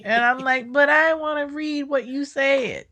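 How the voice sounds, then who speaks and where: Bright-toned woman chatting on a podcast. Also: high-pitched, measured pace. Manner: animated, playful, acts out quotes. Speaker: podcast co-host. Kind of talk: casual chat.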